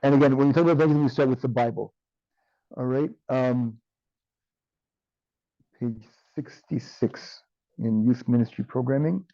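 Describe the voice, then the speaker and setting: A man's voice: medium pitch, fairly even intonation, normal speed, pauses often, mellow, no echo, dull audio. Man with a tired, strained voice teaching. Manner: checks in with "right?" often, many ums. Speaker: instructor. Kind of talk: online class lecture.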